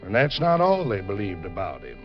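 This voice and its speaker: An actor playing a wry, folksy backwoods storyteller narrating a 1940s radio drama. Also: male voice, deep voice, wildly swooping pitch, fairly quick, loud music behind the voice. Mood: wry storytelling. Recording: muffled old radio broadcast. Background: musical score.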